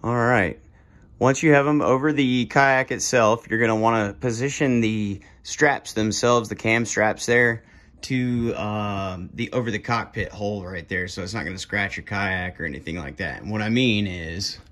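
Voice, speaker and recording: A young man casually walking through steps of a how-to under a carport at night, speaking in relaxed, plainspoken directions to a phone camera as he moves around. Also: fairly low pitch, moderate pace. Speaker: kayaker demonstrating gear. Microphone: phone camera, moving around the car.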